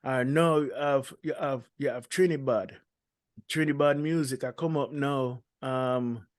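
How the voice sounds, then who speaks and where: A man's voice: mid-range voice, steady tone, a little slow, no echo, clean studio sound. A man speaking as a dancehall artist in an interview, talking casually with many ums and restarts.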